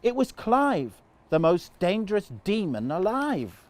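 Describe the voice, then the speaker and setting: A man voicing an impatient young boy with a squeaky voice in a children's story. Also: sing-song, measured pace. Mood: insistent.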